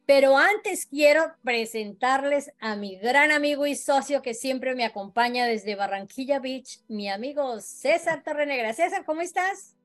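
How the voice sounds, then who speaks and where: A woman's voice: high-pitched, expressive, measured pace, soft background music. A woman from Colombia, hosting an online talk show with effusive greetings. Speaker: host.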